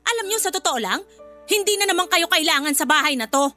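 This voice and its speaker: A woman's voice: very high voice, animated, quick pace, bright, forceful tone, exaggerated voice. An actress playing a bossy, hot-tempered, spiteful woman of the household in a Filipino radio drama, scolding and haughty with a Filipino accent. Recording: background music under voice.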